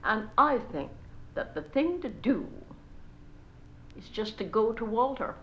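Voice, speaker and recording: A woman's voice: fairly high pitch, big pitch swings, takes it slowly, a bit bright, a little hiss or hum, heavily acted. A woman playing a gossipy, bossy society mother in a stage monologue, gushing to friends and scolding her children between sentences, on a dull old recording. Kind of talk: solo stage character monologue.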